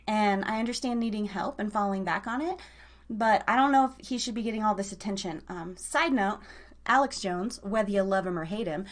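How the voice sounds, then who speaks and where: A woman hosting a live online radio show, chatting casually in an informal, slangy, rambling way straight to her listeners. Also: mid-range voice.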